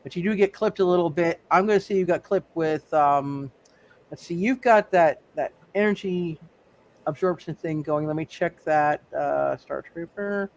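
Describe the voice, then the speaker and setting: A man running a live tabletop superhero game as game master, thinking aloud in halting phrases and repeating his words. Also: a little high.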